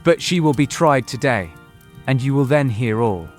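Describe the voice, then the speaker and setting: Earnest, distressed young gentleman, played by a man. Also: mid-range voice, lively intonation, moderate pace, faint music behind, natural voice. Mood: sombre. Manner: earnest, formal. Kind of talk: dramatized audiobook acting.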